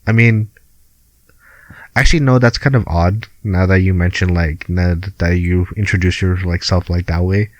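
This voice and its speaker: A young man with a Filipino accent and a low, deep voice. He co-hosts a podcast from home over a call, easygoing and laughing between lines. The sound is close and completely dry. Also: steady tone, moderate pace, no echo, poor audio. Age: young adult. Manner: laid-back, laughs often, swears casually. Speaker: podcast co-host. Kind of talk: casual chat.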